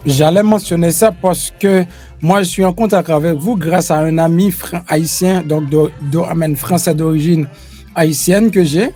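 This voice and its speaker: A man from Haiti hosting an online interview, speaking haltingly with many fillers and restarts. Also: on the high side, steady tone, warm tone, soft background music. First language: Haitian Creole. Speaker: YouTube host interviewing a lawyer. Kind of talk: casual interview.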